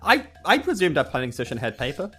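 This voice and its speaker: Very low-voiced woman at a game table. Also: sing-song, fast talker, fairly bright tone, faint music behind. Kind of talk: casual, wry chat and character narration.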